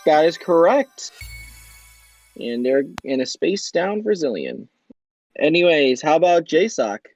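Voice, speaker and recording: A young man with a slightly high, husky voice hosts a fan-made game show quiz. He reads each question and its choices in a bright, upbeat quizmaster manner. Light music plays behind him. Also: very animated, measured pace, long pauses.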